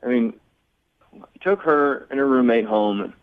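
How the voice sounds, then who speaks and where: A man's voice: mid-range voice, unhurried, phone-quality sound. A man talking candidly as a guest on a radio phone segment, blunt and sarcastic in an exasperated way.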